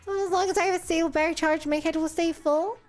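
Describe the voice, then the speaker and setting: A woman's voice: very high-pitched, fairly even intonation, fast talker, faint music behind. A woman voicing a forlorn, empty-headed little robot helper from a video game, naive and earnest. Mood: puzzled.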